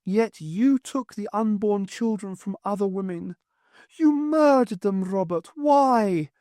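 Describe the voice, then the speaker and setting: A man voicing the ghost of a dead woman with a sing-song Scottish Highland accent, speaking through a child and accusing her husband. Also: slow, darkish tone, no room sound, clean studio sound. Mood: sorrowful accusing. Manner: accusing, sorrowful. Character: grieving pious Highland wife's ghost, accusing.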